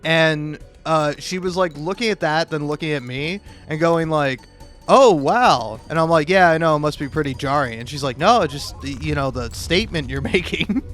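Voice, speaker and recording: A young man telling casual, self-deprecating stories in an animated, rambling monologue, recorded on a modest home mic. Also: a little high, moderate pace. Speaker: video creator. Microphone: cheap mic, home recording. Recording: amateur mic with occasional plosive pops. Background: music.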